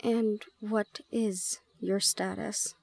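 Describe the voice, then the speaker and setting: A woman playing a shy, easily flustered young gorgon student in an audio roleplay, speaking in halting, exclamatory questions. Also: medium pitch, steady tone, creaky, a little mellow, no echo, exaggerated voice. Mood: shocked. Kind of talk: audio roleplay drama acting.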